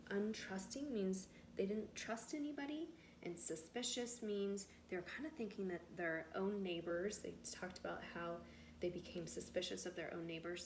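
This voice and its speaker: A woman reading a storybook aloud expressively to children, with simple explanations, like a teacher. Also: lowish voice. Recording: roomy echo with faint background noise.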